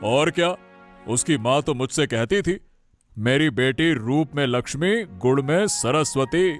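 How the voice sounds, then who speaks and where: A man voicing a cheerful, doting father of the household in an animated family story, insistent and full of praise. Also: medium pitch, a little slow, faint music behind, natural voice. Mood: praising. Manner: warm-hearted, insistent, praising.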